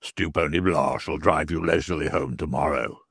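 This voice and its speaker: Reader doing a deep gruff highwayman guy, curt, swearing, kinda desperate. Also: normal speed, fairly bright tone, dry recording, clean studio sound, exaggerated voice. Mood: grim. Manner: low, clipped, cursing under pressure.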